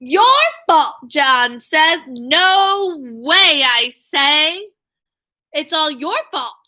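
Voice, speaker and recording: A woman's voice: sits high, animated, drawn-out words, pushed and bright. A woman teacher reading a story aloud to young children, expressively, with questions and asides. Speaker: elementary school teacher.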